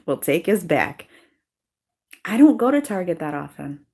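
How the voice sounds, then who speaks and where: Low-voiced woman with a Worcester accent. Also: darkish tone, breathy edge. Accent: Worcester, Massachusetts. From Massachusetts. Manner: blunt, profane and chatty. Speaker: YouTuber hosting a live stream. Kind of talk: casual live-stream chat.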